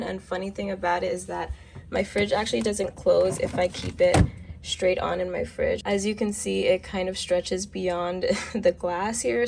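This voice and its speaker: A woman's voice: mid-range voice, creaky, background noise. A young woman vlogger chatting through her favorite things at home, a little congested from a cold. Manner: chatty, upbeat product recommendations. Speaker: lifestyle vlogger. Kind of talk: casual walkthrough vlog. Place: home apartment, kitchen.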